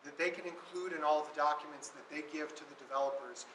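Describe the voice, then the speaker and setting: A man presenting a city project at a public meeting, with a loud voice and many ums and restarts. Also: on the high side, fast talker, recorded from a distance, slight background noise. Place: meeting room in an events complex. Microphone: walking away from the microphone. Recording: echoey room, voice from off-mic.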